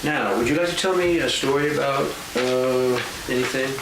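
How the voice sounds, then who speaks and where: A man gently interviewing a child at home, coaxing answers and laughing often. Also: medium pitch, moderate pace. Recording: echoey room, far from mic, noisy.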